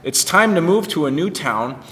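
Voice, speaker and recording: A man's voice: a little high, lively intonation, moderate pace, sharp tone. A man voicing God in a children's Bible story, direct, commanding and assured. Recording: reverberant, echoing room.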